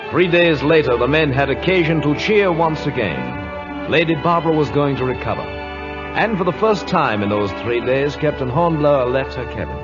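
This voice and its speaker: A man's voice: fairly high pitch, lively intonation, normal speed, lightly acted. A man playing a loyal, earnest naval officer who narrates dramatically in an old-time radio play. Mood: solemn narrating. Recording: muffled old radio broadcast, music behind.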